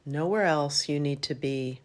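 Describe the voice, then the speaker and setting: A woman leading a guided meditation in a calm, gentle manner, in unhurried phrases with long pauses. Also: low voice. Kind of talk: guided yoga nidra meditation.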